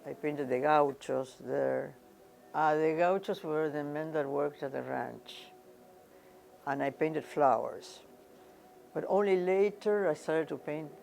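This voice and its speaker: An older woman from Argentina, a painter giving reflective interview answers, unhurried and often searching for words and repeating phrases. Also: low-pitched, creaky, darkish tone, slight background noise.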